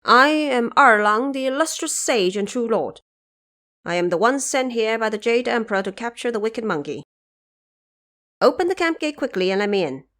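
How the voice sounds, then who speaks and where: A woman's voice: on the high side, expressive, normal speed, a bit bright. A woman voicing a proud, commanding young divine warrior lord in a mythological audio drama, speaking in a formal, declamatory, self-assured way. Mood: proud, declaring.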